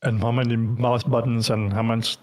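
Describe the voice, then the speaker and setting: A man's voice: medium pitch, flat delivery, normal speed. A man from Denmark with a Danish accent, a native Danish speaker, chatting loosely as a guest in an interview and filling his talk with lots of filler words. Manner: rambling, heavy with fillers. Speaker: guest streamer. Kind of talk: casual interview chat.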